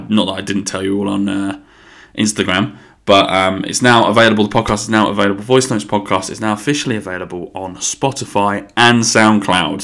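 A man with a somewhat low voice talks alone on his podcast, drifting between topics with frequent ums and restarts. He swears casually and sounds slightly bunged up from a cold. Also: fairly bright tone. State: a bit bunged up. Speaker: podcast host. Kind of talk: casual solo podcast chat.

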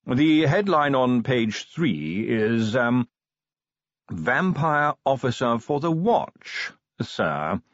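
Guy doing a very proper tactful butler in an audiobook, measured n polite, swoopy pitch, bit muffled. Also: big pitch swings, no echo. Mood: cautious, tactful. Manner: formal, measured, cautiously polite. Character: deferential, unflappable, tactful male butler. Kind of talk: audiobook character voice.

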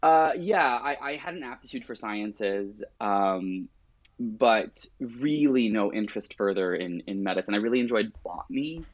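A man's voice: lowish voice, animated, a little slow, no echo, highs cut off. A middle-aged man, chatting casually in an interview in a wry, self-deprecating way. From born in Lebanon, grew up partly in rural Alberta, Canada. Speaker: realtor, interview guest.

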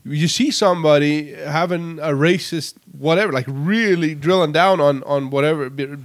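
A man's voice: on the high side, normal speed. A man co-hosting a podcast, speaking bluntly and emphatically in casual chat. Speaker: podcast co-host.

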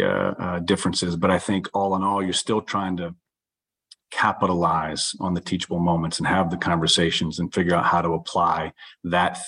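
Deep, husky-voiced man, earnest guest. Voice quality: loud voice. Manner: earnest, halting, many restarts. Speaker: guest, author and coach. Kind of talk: reflective interview talk.

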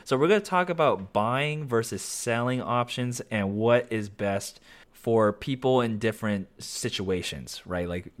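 A young man hosting a solo podcast, talking casually with lots of fillers and self-corrections, his voice slightly raspy. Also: medium pitch, animated, a little slow. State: slightly raspy voice with occasional coughing. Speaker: podcast host.

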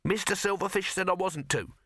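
A man's voice: sits high, a little fast, fairly bright tone, dry recording, studio quality, pitched above the performer's own voice. A man voicing a skinny, earnest, pedantic youth in an audiobook, hesitant and forever correcting. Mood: apologetic. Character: skinny, earnest, pedantic male youth, timidly contradicting his boss.

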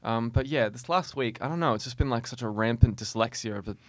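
A man's voice: mid-range voice, quick pace, no echo, clean studio sound. A man of twenty, hosting a casual radio show, rambling and self-mocking, laughing often. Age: 20s. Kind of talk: casual chat. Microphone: studio mic, moves back and forth from it.

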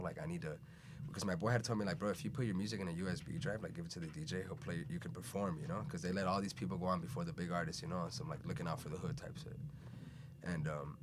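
A young man, born in Venezuela and raised in Chicago, telling stories as a podcast guest in a rambling, laid-back way that leans on "bro" and "you know". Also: low-pitched. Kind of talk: casual conversational storytelling. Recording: steady background noise.

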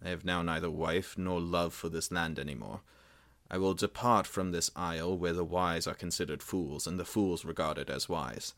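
A man voicing a proud, bitter young prince who speaks forcefully and resentfully. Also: deep voice, fairly even intonation, normal speed. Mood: defiant.

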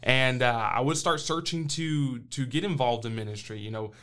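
A man in his thirties, a missionary who grew up in Brazil, answers questions in an earnest, plain-spoken way. His pitch sits in the middle of the range for a man, and he fills his pauses with soft hesitations. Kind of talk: interview answers.

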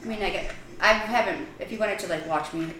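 A woman's voice: medium pitch, reverberant, noisy background. A woman in her thirties from Chicago with a Chicago accent, a comedian guest on a podcast, joking crudely and bluntly. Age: 30s. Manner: brash, crude joking, quick laughs. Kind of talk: casual podcast chat.

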